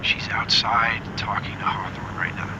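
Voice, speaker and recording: A man's voice: medium pitch, level tone, normal speed. An actor playing a wounded, medicated, needy man in an audio drama, blunt and breathily pleading over a call. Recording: muffled, noisy call with engine drone.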